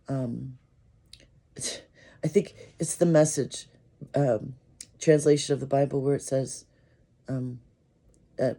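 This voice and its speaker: Hoarse american pastor talking slow, dark mellow voice, kinda thinking out loud. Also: mid-range voice. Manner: slow, thoughtful, with fillers. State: losing voice from a cold. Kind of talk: casual sermon reflection.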